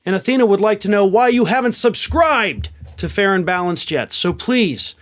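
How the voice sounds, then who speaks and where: High-pitched man giving emphatic political commentary. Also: lively intonation, normal speed. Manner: emphatic, sarcastic. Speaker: political commentator, show host. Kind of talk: opinionated news commentary to camera.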